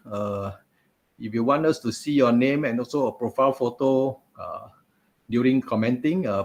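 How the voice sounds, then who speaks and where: A man hosting a live webinar interview over a video call, chatty, with many fillers and asides. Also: medium pitch. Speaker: host. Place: home office, video call. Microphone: video call webcam.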